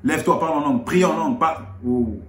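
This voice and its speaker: A man from Congo explaining Bible verses in a relaxed, conversational way, rising in pitch on key points. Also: quick pace. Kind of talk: explaining scripture in casual chat. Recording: echoey room.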